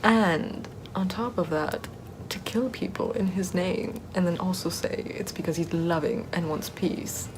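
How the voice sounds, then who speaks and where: A woman playing a sardonic Puritan-era forest witch in a soft, rambling, mostly whispered ASMR roleplay. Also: lowish voice, a little slow, noisy background, lightly acted. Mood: exasperated, mocking. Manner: rambling, conversational, repeats words softly.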